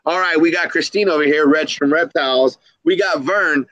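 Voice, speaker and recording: A man's voice: a little high, normal speed, fairly bright tone, no room sound, dull audio. A man hosting a reptile keepers' live stream in a casual, slangy, upbeat style, hyping up the community. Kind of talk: casual live-stream chat with shout-outs.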